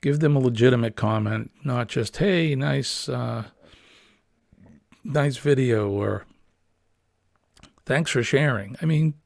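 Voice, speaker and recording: Man, livestream host; husky, smoky, a bit gravelly; easygoing and rambling with many fillers; dry, close computer-mic sound. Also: mid-range voice, no room sound. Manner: relaxed, rambling, frequent ums and uhs. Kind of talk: casual livestream chat reading viewer comments. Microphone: built-in computer webcam mic.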